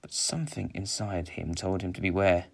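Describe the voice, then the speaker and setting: Male storyteller, fairly deep voice, expressive narration for a children's tale. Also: normal speed, gravelly, husky. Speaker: story reader.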